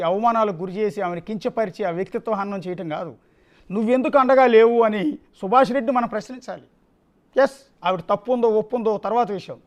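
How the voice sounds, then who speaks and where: A male news anchor with a distinctly high voice. He comments live and off the cuff, driving points home by repeating his phrases with emphasis.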